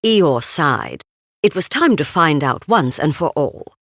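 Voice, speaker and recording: A woman reading a children's storybook aloud in an expressive, deliberate way. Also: low voice, dry recording, clean studio sound. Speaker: storybook narrator. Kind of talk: scripted read-aloud children's story narration.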